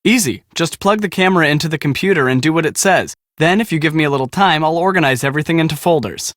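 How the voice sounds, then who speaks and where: A man's voice: fairly high pitch, quick pace, a bit bright, dry recording, studio quality, lightly acted. An actor playing an easygoing young male storm-chasing photographer in a video game, breezy and boyish. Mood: casual, helpful. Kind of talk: video game voice acting, casual.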